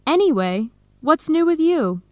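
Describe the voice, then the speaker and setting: A woman's voice: high voice, big pitch swings, a little slow, mellow, dry recording, studio quality. A woman playing a young university student, friendly and sincere, in a scripted educational radio drama. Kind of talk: scripted radio drama acting for English learners.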